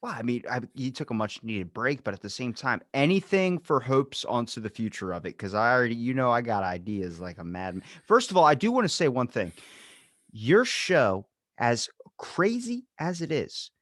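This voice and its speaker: A man's voice: mid-range voice, lively intonation, normal speed, a bit bright, dry recording, studio quality. A man hosting a podcast, chatting casually and joking, sometimes with mock outrage. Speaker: podcast host. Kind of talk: casual comedic chat.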